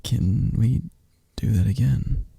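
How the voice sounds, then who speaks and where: A man doing a shy, tender best friend who talks softly and stumbles over his words, his low voice dark and gravelly. He takes his time, unhurried and hushed, close and dry on the mic. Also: no room sound, exaggerated voice. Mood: playful. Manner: soft-spoken, stammering, gentle. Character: shy affectionate young adult male best friend. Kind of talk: ASMR roleplay.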